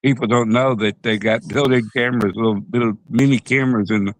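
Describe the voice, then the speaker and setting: A man's voice: mid-range voice, steady tone, brisk, a little hiss or hum, rough recording. A man co-hosting a casual talk show, rambling with many restarts and chuckling asides. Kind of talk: casual chat.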